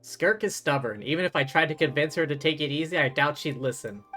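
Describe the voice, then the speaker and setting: A woman's voice: deep voice, brisk, fairly bright tone, soft background music. A woman live streamer reading game dialogue aloud and adding casual commentary as she plays.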